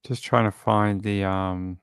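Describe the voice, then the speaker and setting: A man's voice: lowish voice, steady tone, slow, creaky, smooth, dry recording, clean studio sound. A man from Sydney with an Australian accent, hosting a livestream in casual, rambling chat with his viewers. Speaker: livestream show host.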